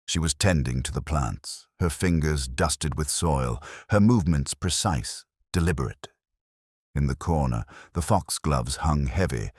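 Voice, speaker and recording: A man reading a scripted first-person detective story as narrator, in a measured, solemn, literary manner. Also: a bass voice, breathy edge, no room sound, studio quality.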